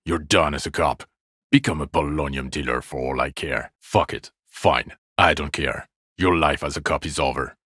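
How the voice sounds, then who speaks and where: A bitter, sardonic police detective for a video game. His voice is extremely low yet forceful and sharp-edged. He is scornful and profane, recorded dry with no room sound. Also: dry recording. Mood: bitter dismissal.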